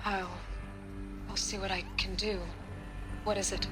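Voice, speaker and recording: An actress playing a composed, resolute lead scientist in a game cutscene, measured and businesslike. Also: female voice, mid-range voice, little pitch movement, music in the background, lightly acted. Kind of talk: dramatic game cutscene acting.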